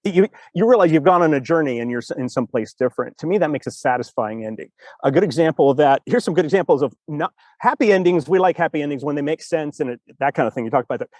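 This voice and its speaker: A man hosting a casual discussion over a video call, explaining in an animated, rambling way and acting out reactions. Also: fairly high pitch, fast, no echo. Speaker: podcast host.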